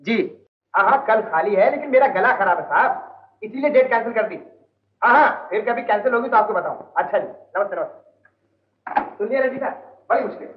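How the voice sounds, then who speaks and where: A glib, fawning star's secretary. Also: male voice, sits high, expressive. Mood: busy. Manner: smooth-talking, fawning, quick excuses. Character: fast-talking, wheedling male secretary of a star singer. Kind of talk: 1970s film dialogue. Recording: dull old film soundtrack.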